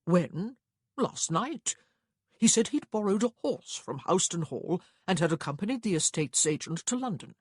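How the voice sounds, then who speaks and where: A woman doing a loud, blustering, fussy gentleman. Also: fairly low pitch, sing-song, normal speed, slightly rough, no room sound, studio quality. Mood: nervous. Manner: earnest, blustering, emphatic. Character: fussy, anxious, blustering upper-class brother, stout man.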